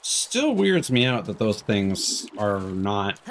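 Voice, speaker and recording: Animated male game streamer. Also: lowish voice, takes it slowly. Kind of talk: casual gameplay commentary, reading dialogue aloud. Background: faint game music.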